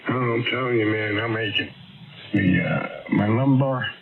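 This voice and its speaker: A man's voice: fairly low pitch, measured pace, gravelly. An older man chatting on the air as a ham radio operator, rambling with many fillers. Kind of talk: casual on-air chat. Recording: tinny radio signal under loud static.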